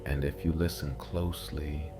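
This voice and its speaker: Male horror narrator, bottom-register voice, unhurried and ominous, scripted first-person storytelling over music. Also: very deep voice, little pitch movement. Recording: music behind the voice. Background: music bed.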